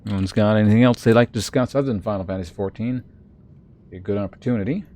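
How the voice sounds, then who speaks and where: A man's voice: on the low side, fast talker, dark tone. A man chatting and commentating on a live game stream in a rambling, wry way, with faint noise on the recording.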